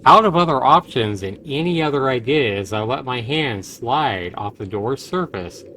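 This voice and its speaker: A man's voice: mid-range voice, lively intonation, normal speed, bright, forceful tone. A man streaming a visual novel, reading it aloud theatrically and emphatically, with his own commentary. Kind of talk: dramatic game read-aloud with commentary. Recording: noisy home livestream.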